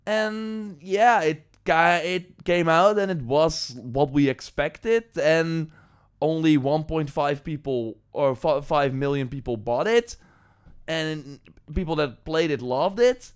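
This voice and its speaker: A man's voice: fairly high pitch, takes it slowly, clear, resonant tone. A man with a Dutch accent, a native Dutch speaker, hosting a live stream, reading viewer comments and rambling loosely with many ums and likes. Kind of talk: casual live-stream talk, reading chat.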